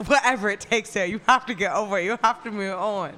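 A woman's voice: mid-range voice, fast talker, sharp tone, slight background noise. A young woman co-hosting a radio show, chatting casually and gossiping with laughs. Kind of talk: casual chat.

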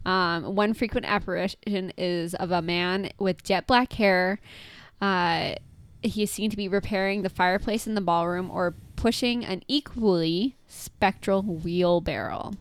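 A woman's voice: fairly low pitch, fairly even intonation, unhurried, clean tone. A woman co-hosting a casual podcast, recounting ghost stories from her notes with frequent ums and small laughs. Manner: tells stories from notes with many ums and giggles. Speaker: podcast co-host. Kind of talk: casual chat, reading up on a haunting.

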